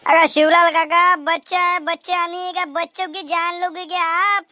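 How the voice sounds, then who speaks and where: A woman's voice: extremely high pitch, level tone, normal speed, bright, forceful tone, dry recording. A woman playing a cheeky, overworked village farm boy in a comedy cartoon, whining in a colloquial Vidarbha Marathi accent. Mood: cheeky protest. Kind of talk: homemade rural comedy cartoon dialogue.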